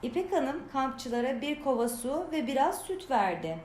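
A woman reading a scripted children's story aloud as storyteller, with an expressive delivery. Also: high voice, normal speed. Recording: roomy echo, clear background noise.

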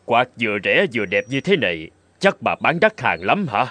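A man's voice: medium pitch, sing-song, normal speed, pushed and bright, no echo. A man voicing a courteous middle-aged poet and nobleman in a folk-tale cartoon, speaking politely and theatrically. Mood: curious, friendly. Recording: faint music behind the voice.

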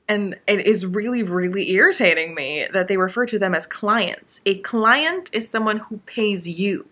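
A woman's voice: lowish voice, sharp tone. A young woman ranting casually about a book in a home vlog, emphatic and exasperated, with many fillers and restarts. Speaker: book vlogger. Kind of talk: casual book review rant. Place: living room with bookshelves, indoors.

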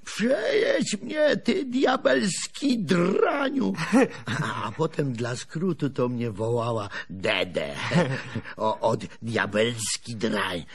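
An actor playing a gruff, teasing old grandfather in a radio drama, husky and folksy. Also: medium pitch, lively intonation, extremely slow, heavily acted. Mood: amused reminiscing. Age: older. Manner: folksy, bantering storyteller.

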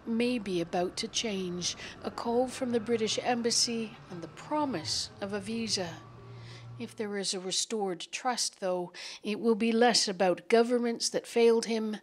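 Woman reporter voicing a serious news piece, calm measured delivery, faint room hum. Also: mid-range voice. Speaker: foreign correspondent. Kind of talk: scripted news narration.

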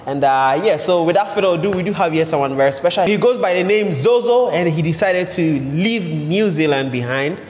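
Male podcast host, thirties, Ghanaian accent, higher-set voice, conversational delivery, reverberant room with slight noise. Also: expressive, fairly quick, hardly any pauses. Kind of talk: conversational interview and show introduction. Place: indoor co-working space. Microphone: podcast microphone on boom arm. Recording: echoey room, long reverb, faint noise.

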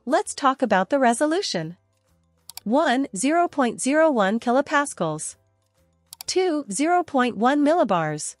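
A woman narrating a scripted product review and demo in a steady, even reading voice. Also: high voice, no room sound, studio quality. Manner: steady, even reading of long spec lists.

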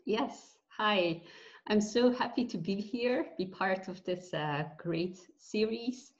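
A woman from Belgium in her forties, a guest telling her story with pauses and fillers over a video call from home. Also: mid-range voice. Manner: earnest, reflective, with many pauses and fillers. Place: bright room at home. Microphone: video call webcam. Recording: dull lo-fi video call audio.

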